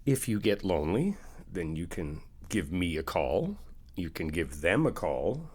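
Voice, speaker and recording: A young man in an ASMR comfort roleplay, talking to the listener as a reassuring friend in a chatty way with soft laughs. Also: low-pitched, very animated, unhurried, husky.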